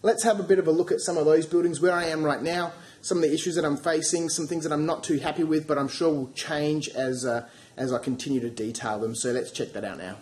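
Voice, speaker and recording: A man with a cold and a croaky voice, casually talking through his hobby work with many fillers and restarts. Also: fairly high pitch, fairly even intonation, fast talker, darkish tone. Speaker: hobby channel host. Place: home hobby studio.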